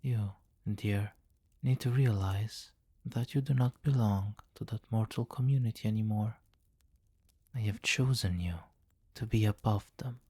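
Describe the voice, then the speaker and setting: Slow, low-voiced possessive vampire king played by a man. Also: mellow, breathy edge. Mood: imperious, persuasive. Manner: formal, deliberate, commanding, with terms of endearment. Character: possessive, commanding vampire king, courtly and doting. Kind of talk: intimate ASMR fantasy roleplay.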